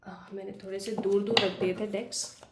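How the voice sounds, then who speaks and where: A woman with a cold, sounding a bit hoarse, giving a chatty tarot reading and talking straight to her viewers. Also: medium pitch, level tone, moderate pace, echoey room, heavy background noise. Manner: chatty, addresses viewers directly, mixes English phrases. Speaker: tarot reader. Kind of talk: pick-a-pile tarot reading to camera.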